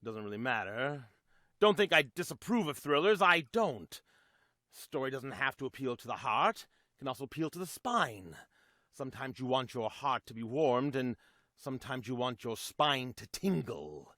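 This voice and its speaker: A man giving a theatrical scripted introduction as host of a radio play, with droll, deliberate phrasing. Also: medium pitch, expressive, pushed and bright, no echo, clean studio sound.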